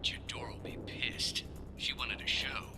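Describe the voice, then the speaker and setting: A man's voice: mid-range voice, fairly even intonation, unhurried. Whispery, terse mercenary man over radio. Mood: brusque. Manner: clipped, terse. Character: brusque male mercenary on radio. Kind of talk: video game voice acting.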